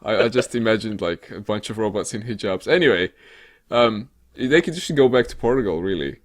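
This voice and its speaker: Low-pitched man, rambling casual chat. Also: lively intonation, a little fast. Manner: hesitant, rambling delivery with many filler sounds. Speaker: co-host of a weekly show. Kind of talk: casual comedic chat about tech news.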